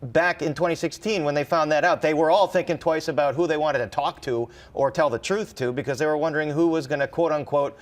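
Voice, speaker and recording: A man's voice: fairly high pitch, steady tone, brisk, hardly any pauses. An American man, a reporter, explaining news in a conversational way, thinking aloud as he goes. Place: TV studio.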